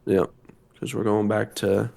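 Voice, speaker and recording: Male podcast co-host, casual and conversational, slightly hoarse with a sore throat. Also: lowish voice, flat delivery, measured pace, dark tone. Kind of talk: casual chat.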